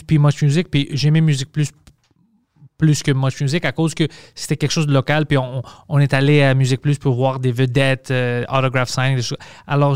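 Podcast host, man, smooth and resonant voice, dry close mic, rambling restarts. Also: on the high side, a little mellow, no room sound. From Montreal, Quebec. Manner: loose and chatty, frequent restarts and English slips. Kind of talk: casual conversational chat.

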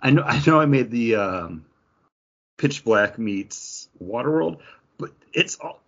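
A man with a raspy, fry-laden voice chats casually as a podcast co-host. He thinks aloud haltingly while reviewing comics, and the audio is a little muffled. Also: mid-range voice, expressive, a bit bright.

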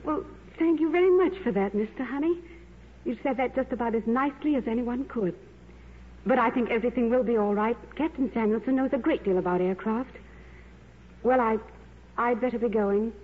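Gentle stewardess, slightly high voice. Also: female voice, brisk, breathy edge. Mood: concerned, gentle. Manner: gentle, polite, reassuring. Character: kind, soothing, attentive young stewardess. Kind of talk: 1950s radio drama acting. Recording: tinny, noisy old radio broadcast.

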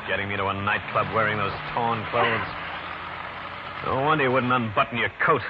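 An actor playing a tough, wisecracking private detective in an old-time radio drama, brusque, slangy and sardonic. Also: male voice, on the low side, fairly even intonation, normal speed, close to an everyday voice. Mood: angry, rough. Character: tough, wisecracking New York private detective, brash and hard-boiled. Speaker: lead actor and narrator. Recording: noisy, muffled old radio recording.

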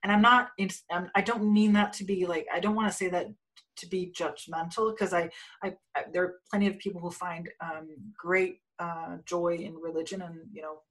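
A woman from Denmark in a casual conversation about spirituality, rambling with many restarts and fillers and often trailing off quietly. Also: lowish voice, steady tone, moderate pace, low-quality recording.

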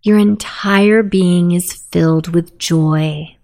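A woman narrating a guided sleep meditation in a soothing, softly spoken, unhurried manner. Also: lowish voice, steady tone, vocal fry.

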